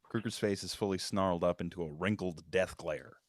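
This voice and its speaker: A man's voice: deep voice, fairly quick, no room sound, clean studio sound. A man narrating stage directions at a screenplay table read, in scripted narration.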